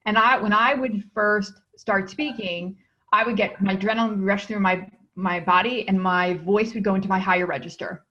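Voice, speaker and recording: A woman's voice: mid-range voice, steady tone, normal speed, bright, forceful tone. A woman hosting an interview, enthusiastic and affirming. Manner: enthusiastic, affirming, many "mm-hmm"s. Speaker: speaking coach, host. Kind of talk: interview host chat. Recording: dull lo-fi sound.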